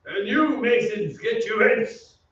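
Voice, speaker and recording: Man acting a high-pitched, proud English lord. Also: lively intonation, slow pace, fairly bright tone, full character voice. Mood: grim, stern. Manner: declamatory, haughty. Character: proud, stern English lord, a grown man's father, defending family honor. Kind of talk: old-time radio acting. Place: theatre stage. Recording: echoey stage, distant mic, dull, faint noise.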